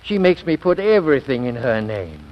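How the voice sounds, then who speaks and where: An actor playing a meek, henpecked employee in a 1940s-style radio comedy. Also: male voice, medium pitch, sing-song, moderate pace, lightly acted. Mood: bewildered. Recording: dull old radio recording.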